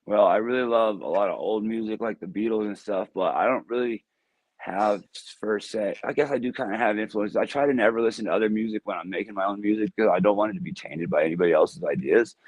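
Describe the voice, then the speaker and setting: Deep-voiced man, easygoing and dry. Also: fast talker, no room sound, low-quality recording. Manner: easygoing, laughs often, loose rambling answers. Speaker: music artist guest. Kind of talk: casual interview answers.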